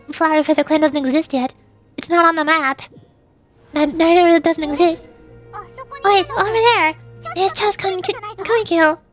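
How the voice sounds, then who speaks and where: A woman streaming a game with casual live commentary, playful and theatrical, often in short exclamations. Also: high-pitched. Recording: game music behind the voice.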